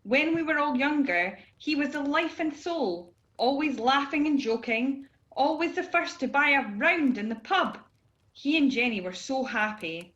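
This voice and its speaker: A woman as a wistful relative, fairly high-voiced. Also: a bit bright. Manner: earnest, reminiscing. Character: forgiving, wistful middle-aged female relative. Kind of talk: amateur radio play acting. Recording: dull video-call audio.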